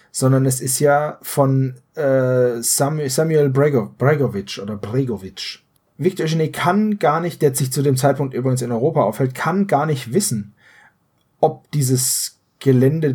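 Guy on a podcast, bit husky, mid voice, chatting through story details and nitpicking the logic, fixes his words as he goes. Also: medium pitch, a little mellow. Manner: analytical, explaining in detail with self-corrections. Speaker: podcast co-host. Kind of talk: casual discussion retelling a story.

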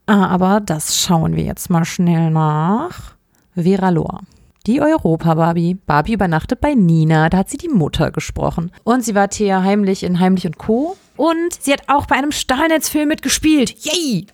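A woman co-hosts a podcast in a chatty, explanatory manner. Her pitch sits in the middle range, and the recording is close and dry, with hardly any room sound. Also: expressive, moderate pace, darkish tone, dry recording. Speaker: podcast co-host. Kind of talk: casual chat, recapping an audio drama.

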